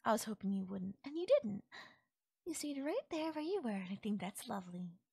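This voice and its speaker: Woman acting as a breathy, possessive yandere fairy. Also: a little high, sing-song, fairly quick, a little mellow, dry recording, clean studio sound, exaggerated voice. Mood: delighted, adoring. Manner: sweet, breathy, lilting, sometimes dropping to a whisper. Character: possessive, doting yandere female fairy captor. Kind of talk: intimate ASMR audio roleplay.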